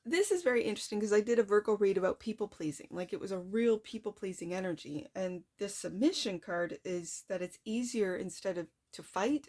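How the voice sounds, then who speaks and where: Woman, tarot reader, soft voice, chatty and reflective, mid-range pitch. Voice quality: soft-spoken. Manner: chatty, reflective, addresses the viewer directly. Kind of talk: casual tarot reading to viewers.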